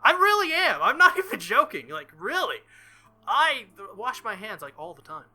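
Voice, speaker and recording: A man doing animated, exclamatory playthrough commentary while reading a visual novel aloud. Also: very high-pitched, moderate pace, soft background music.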